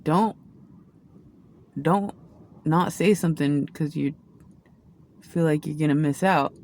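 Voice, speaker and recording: A woman's voice: sits low, takes it slowly, slight background noise. Chatty rambling woman reading tarot. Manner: chatty, many restarts and asides; says she has a loud voice. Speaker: tarot reader. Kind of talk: casual rambling tarot chat.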